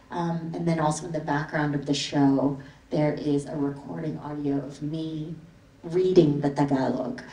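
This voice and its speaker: A woman artist from the Philippines talking through slides of her work to a gallery audience, relaxed and meandering with many ums and likes. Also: low voice. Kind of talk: casual gallery talk with slides. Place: gallery event space with audience. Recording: echoey hall from far off.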